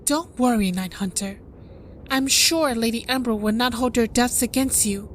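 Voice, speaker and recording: Woman acting a regal, high-voiced pony princess. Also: slight background noise, natural voice. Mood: reassuring. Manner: formal, measured, gracious. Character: regal female alicorn pony princess, serene and consoling. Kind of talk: fan audio drama acting.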